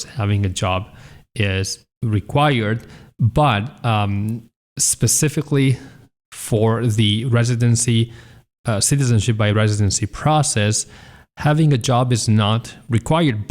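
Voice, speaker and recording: A man hosting a talk show, giving measured, explanatory answers as an advisor. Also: fairly low pitch, a little mellow. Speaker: citizenship advisor host.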